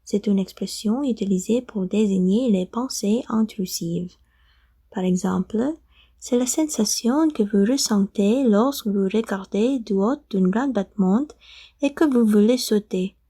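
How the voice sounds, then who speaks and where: Filipina woman, mellow voice, measured read-aloud message. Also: mid-range voice, slightly rough. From Philippines. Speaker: learner sharing an expression.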